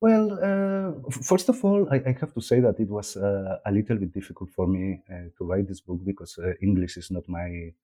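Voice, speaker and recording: Spanish-accented man, low mellow voice. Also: lively intonation, moderate pace, hardly any pauses. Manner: thoughtful, frequent hesitations and repeated words. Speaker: author and journalist. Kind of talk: interview conversation.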